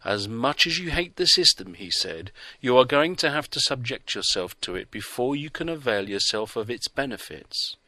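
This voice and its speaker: A man's voice: mid-range voice, expressive, normal speed, few pauses, sharp tone, dry recording. A man reading the part of a blunt, insistent male hostel worker who presses with clipped questions. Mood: stern.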